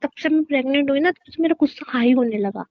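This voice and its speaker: A woman's voice: high-pitched, fast talker. A woman playing an irritable, teasing pregnant wife who scolds and bosses her husband in a naturalistic audio drama. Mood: playfully angry. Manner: scolding, bossy, playfully exasperated. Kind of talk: naturalistic Hindi audio drama of couple banter. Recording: dull lo-fi audio.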